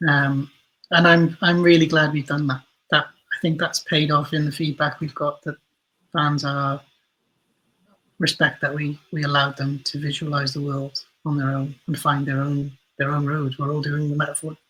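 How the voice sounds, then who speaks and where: Guy being interviewed about his creative work, kinda husky, fairly level tone, rephrases himself a lot. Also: a little high, monotone, normal speed, bright, forceful tone, rough recording. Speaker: interview guest, audio drama writer and creator. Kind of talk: reflective interview conversation.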